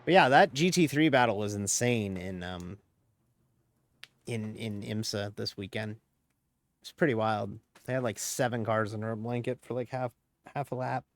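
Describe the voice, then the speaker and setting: A man's voice: on the low side, normal speed, a little hiss or hum. A man streaming a sim race who talks casually and at length, rambling and chuckling at himself as he drives. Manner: chatty, rambling, chuckles often, self-mocking. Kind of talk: casual live-stream commentary while racing.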